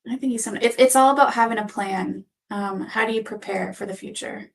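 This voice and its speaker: Female clinician guest, mid-pitched and creaky, measured and careful in explaining. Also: a little hiss or hum. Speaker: physician assistant guest. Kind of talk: explanatory interview answers.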